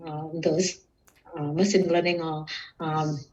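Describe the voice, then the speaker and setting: A woman from Indonesia with an Indonesian accent, giving casual, halting interview answers as a podcast guest. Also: low voice, flat delivery, a little mellow. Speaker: podcast guest, security professional and student. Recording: muffled, distant microphone.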